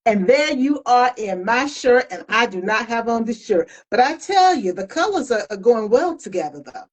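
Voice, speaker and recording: A woman's voice: on the high side, very animated, moderate pace. A woman co-hosting a casual video-call chat, playfully teasing and restarting her words. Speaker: co-host.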